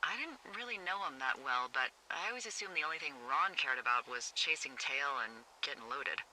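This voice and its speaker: A woman's voice: low voice, animated, a little fast, bright, forceful tone, a little hiss or hum, heavily acted, poor audio. A woman playing a wry, teasing American fire lookout in a naturalistic video game, chatty and sardonic, heard over a two-way radio. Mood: wry, candid.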